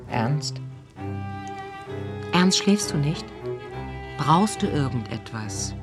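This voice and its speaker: An actress in a German radio drama playing the weary, reproachful wife of an ailing writer. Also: female voice, sits low, very animated, very slow, music in the background, exaggerated voice. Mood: worried, reproachful. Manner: reproachful, exasperated.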